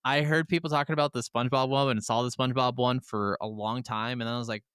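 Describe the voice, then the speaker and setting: A man's voice: mid-range voice, steady tone, fast, a bit bright, clean tone, no echo, clean studio sound. A man speaking as a podcast co-host, chatting casually and colloquially about video games, swearing now and then.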